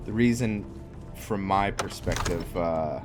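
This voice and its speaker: Low-voiced, slow-talking man gaming. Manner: halting, thinking aloud. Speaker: gamer narrating his roleplay playthrough. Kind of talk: casual game commentary with lore explanations. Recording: music behind the voice. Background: game music and game characters.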